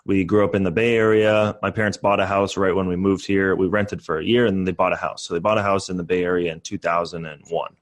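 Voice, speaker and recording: A man's voice: low-pitched, flat delivery, fast, hardly any pauses. A young man in his twenties, a real estate investor guest, talking casually and upbeat with many fillers. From born in Canada, raised in the US. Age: 20s. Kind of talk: casual podcast interview.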